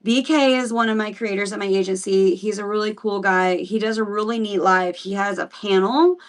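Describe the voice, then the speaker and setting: A woman's voice: mid-range voice, steady tone, normal speed, recorded from a distance. A woman hosting a casual livestream Bible study, chatty and rambling, greeting viewers by name as she teaches. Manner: chatty and rambling, with frequent fillers and restarts.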